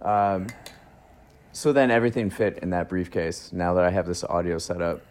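A man's voice: low-pitched, moderate pace, a little hiss or hum. A man hosting a casual chat, rambling along in short bursts, recorded on a phone in a garage. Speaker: podcast host. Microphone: phone used as recorder.

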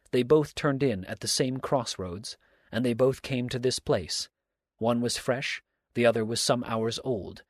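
Man voicing a stern, measured righteous countryman. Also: medium pitch, no room sound, clean studio sound, natural voice. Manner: measured, formal, sermonizing. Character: stern, unhurried righteous countryman, preaching and probing. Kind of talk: audiobook character dialogue.

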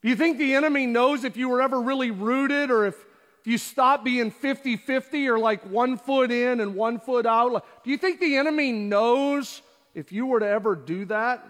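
High-voiced preaching pastor in a reverberant hall. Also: male voice, moderate pace, fairly bright tone. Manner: preaching with rhetorical questions and acted-out quotes. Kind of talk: sermon. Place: church auditorium.

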